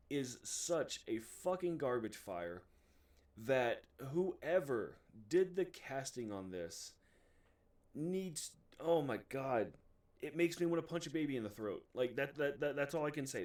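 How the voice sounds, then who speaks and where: A man's voice: mid-range voice, a little mellow. Exasperated, swearing man, distant from mic. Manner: profane, exasperated, emphatic. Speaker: video host reviewing movies. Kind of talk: casual opinionated rant to camera.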